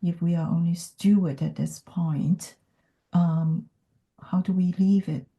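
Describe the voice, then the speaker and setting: A woman from Hong Kong, whose first language is not English, speaking in a thoughtful podcast conversation with many pauses and fillers. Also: on the low side, fairly even intonation, dark tone. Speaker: podcast guest. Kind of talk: reflective conversation.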